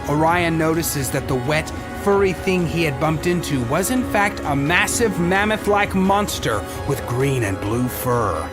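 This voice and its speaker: Music plays clearly behind a man narrating a scripted fantasy story. His voice is on the high side, with a dramatic storyteller's delivery.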